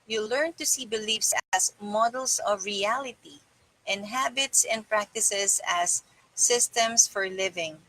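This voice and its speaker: A woman's voice: mid-range voice, unhurried, pushed and bright, poor audio. A middle-aged woman reading a self-help book aloud in a small room indoors. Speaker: reader.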